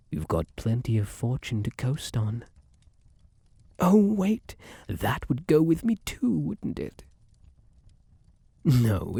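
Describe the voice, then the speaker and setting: A man acts out a smug, possessive male spirit of luck in an intimate ASMR roleplay. He takes his time, with a gravelly creak and pitch that swoops theatrically. The manner is coaxing and suave, with menace underneath. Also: darkish tone, no room sound, studio quality, full character voice. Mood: smugly menacing. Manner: theatrical, coaxing, old-fashioned flowery slang and endearments.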